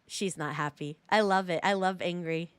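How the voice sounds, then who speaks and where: A woman's voice: fairly low pitch, moderate pace, smooth, dry recording. A woman streamer giving casual live game commentary and chatting to viewers in a rambling, slangy way. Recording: game music behind.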